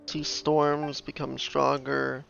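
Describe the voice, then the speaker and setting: A man's voice: mid-range voice, completely flat delivery, slow pace, vocal fry, a bit bright. A man giving casual gameplay commentary as he plays, recorded on a dull, lo-fi voice track. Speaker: game player commentating. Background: game music.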